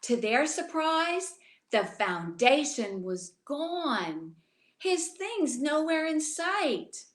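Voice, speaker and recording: Woman storyteller for children's picture book: slow, expressive delivery, talks straight to kids, roomy sound. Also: sits high. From Louisiana. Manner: expressive, addresses young listeners directly. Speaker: children's author reading aloud. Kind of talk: bedtime story read aloud to children.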